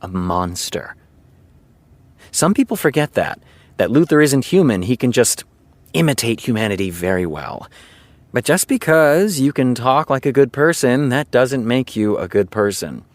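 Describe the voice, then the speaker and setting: A smug male TV writer played by an actor in a naturalistic audio drama. He lectures pompously and deliberately, with a lively, swooping mid-range voice, recorded close and dry. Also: mid-range voice, dry recording, natural voice. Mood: self-assured lecturing. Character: smug, self-assured male TV showrunner and writer.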